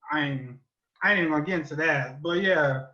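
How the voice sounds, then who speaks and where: An American man co-hosting a podcast, giving album reviews in casual, profane, animated and exasperated slangy banter. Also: fairly high pitch, fairly quick, muffled recording.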